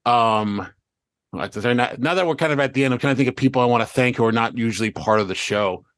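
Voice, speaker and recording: A man chatting casually as a show host, rambling through stories in an animated way and voicing remembered remarks. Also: mid-range voice, fast talker, dry recording. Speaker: podcast host and showrunner of an audio drama. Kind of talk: casual chat looking back on a show's season.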